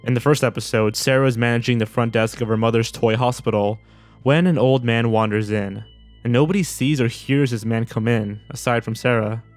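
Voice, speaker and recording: Dry male narrator over soft music. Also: mid-range voice, fairly even intonation, fairly quick, dry recording. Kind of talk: scripted narration. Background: background music.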